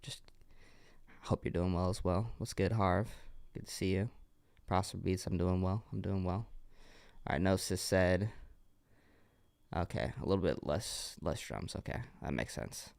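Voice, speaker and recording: A man's voice: low voice, unhurried, gravelly, darkish tone, no echo. A young man with a congested voice, giving laid-back, encouraging feedback on music submissions during a livestream. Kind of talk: casual livestream commentary.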